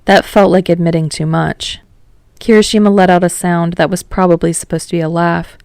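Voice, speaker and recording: Woman, story narrator, creaky voice, scripted reading, very dry recording. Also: fairly low pitch, flat delivery, a little fast, darkish tone, no room sound. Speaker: fan fiction narrator.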